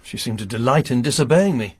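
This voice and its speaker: Brief: a vengeful, Bible-quoting lodger for a radio play, husky, with a very dry, close sound. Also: male voice, mid-range voice, expressive, a little fast, no echo. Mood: solemn. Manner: formal, sermonizing, quotes scripture. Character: grim pious lodger, grieving vengeful father. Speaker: lodger under arrest. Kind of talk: radio drama acting.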